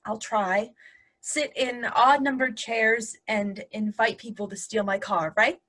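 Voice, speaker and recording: A woman's voice: fairly high pitch, steady tone, measured pace, a bit bright. A woman playing a snarky, teasing wife in a conversational comedy play reading, full of sarcasm. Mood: wry. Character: snarky, joking wife of the anxious son.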